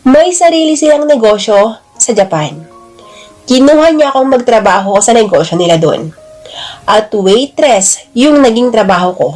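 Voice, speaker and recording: Bold, sassy, high-voiced flirty woman played by a narrator. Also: a little mellow. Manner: brash, slangy, emphatic, playful scolding.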